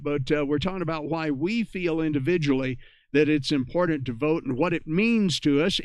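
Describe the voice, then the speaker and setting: American male net host, highish clear voice, close dry sound, careful phonetic call signs. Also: no echo. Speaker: amateur radio net host. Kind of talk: radio net check-in hosting.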